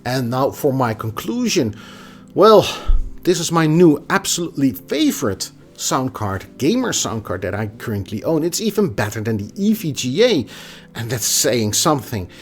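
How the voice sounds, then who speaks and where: A man's voice: medium pitch, big pitch swings, moderate pace, soft background music. A man giving a casual, opinionated tech review in a conversational manner. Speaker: product reviewer.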